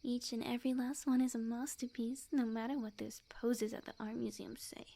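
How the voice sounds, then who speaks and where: A woman playing a sweet, obsessive witch girlfriend in an ASMR roleplay, speaking softly and breathily in a coy, unhurried, often whispered voice. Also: on the high side, level tone, gravelly. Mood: doting.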